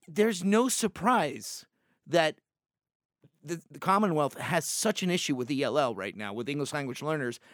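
A man's voice: sits high, no echo, clean studio sound. A man from Puerto Rico, a native Spanish speaker, arguing emphatically on a panel with many restarts and "like"s. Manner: animated, emphatic, lots of "like" and restarts. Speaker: panel guest. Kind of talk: panel discussion.

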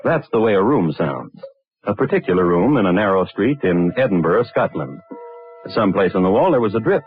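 An actor playing a sardonic male crime connoisseur, narrating a vintage radio drama with deliberate, dry understatement. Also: fairly low pitch, big pitch swings, faint music behind. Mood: dry, ominous. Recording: tinny, band-limited vintage radio recording.

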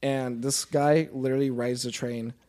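A young man in his early twenties chats as a guest on a podcast. His voice sits at an average male pitch, and he talks in an earnest, informal way with casual swearing. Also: little pitch movement, moderate pace, dark tone.

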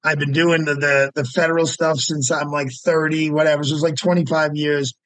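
A man's voice: a little high, level tone, fairly quick, fairly bright tone, dry recording. A man, a lawyer guest talking casually and emphatically, often repeating words as he makes his point. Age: 50s. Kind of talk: casual podcast interview.

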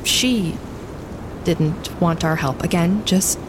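Uneasy, halting expedition member. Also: female voice. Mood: worried. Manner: hesitant, halting. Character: uneasy woman on a cold wilderness expedition. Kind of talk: naturalistic audio drama dialogue. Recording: low rumbling background noise.

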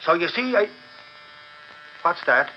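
An actor playing an excitable, slow-witted newspaper reporter in a 1940s radio drama, blustery and folksy with rough grammar. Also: male voice, a little high, full character voice. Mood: excited, insistent. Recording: muffled 1940s radio broadcast.

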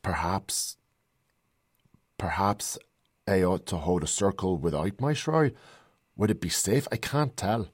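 A man's voice: low voice, slow, pauses often, husky, no room sound, studio quality, exaggerated voice. A man voicing a young woman who speaks in an earnest, hesitant and wistful way, reading her lines in a storybook.

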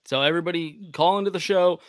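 A man's voice: sits high, fast talker, dry recording, clean studio sound. A man hosting a show in casual chat, easygoing and amused. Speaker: show host.

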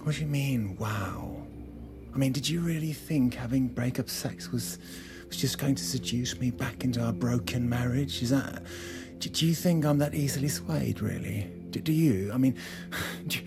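An actor playing a hurt, angry estranged husband in a naturalistic audio drama, halting and stammering as he repeats himself. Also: mid-range voice, over music, natural voice. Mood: angry, exasperated.

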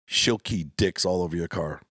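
A man's voice: low-pitched, normal speed, no echo. A man joking crudely in a casual comedy podcast chat, stammering as he gets going. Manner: crude, stammering, very animated joker. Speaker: podcast co-host.

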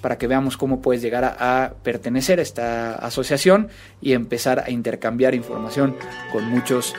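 A man's voice: mid-range voice, steady tone, normal speed, soft background music. A man hosting an interview podcast in a conversational style.

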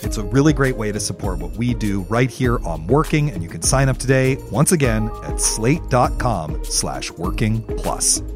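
A man's voice: lowish voice, animated, normal speed, hardly any pauses, music in the background. A man co-hosting a podcast, speaking in an articulate, emphatic, conversational way. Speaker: podcast co-host.

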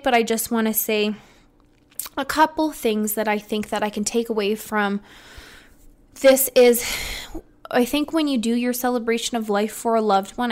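A woman's voice: mid-range voice, smooth. A young woman talking casually and upbeat on her solo podcast, rambling and restarting her sentences. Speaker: podcast host.

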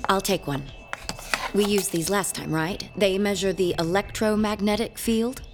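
A woman's voice: medium pitch, moderate pace, music in the background, close to an everyday voice. An actress playing an agreeable married woman on a ghost-hunting team in a radio horror drama.

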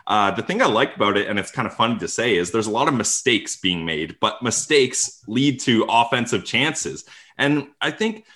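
A man hosts a casual podcast, talking easily and chatting like a fan. His voice sits on the low side. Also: expressive, normal speed, sharp tone. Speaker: podcast host. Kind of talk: casual podcast interview and read ad.

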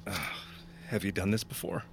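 A man's voice: sits low, steady tone, normal speed, vocal fry, slight background noise. A man playing a weakened, wounded character in an audio drama, hesitant and wry. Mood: uneasy. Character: wounded man joking weakly after being shot.

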